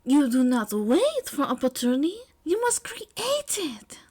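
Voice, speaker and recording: Flamboyant teen boy female reader, high, theatrical, grandiose love guru. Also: big pitch swings, darkish tone. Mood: grand, confident. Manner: theatrical, grandiose, drawn-out flourishes. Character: flamboyant teenage French boy, self-styled love expert.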